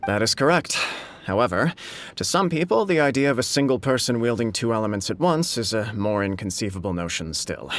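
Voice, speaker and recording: A man playing a dignified shogunate official in a video game, speaking in a measured, diplomatic, formal way. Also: lowish voice, expressive. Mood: diplomatic, persuasive.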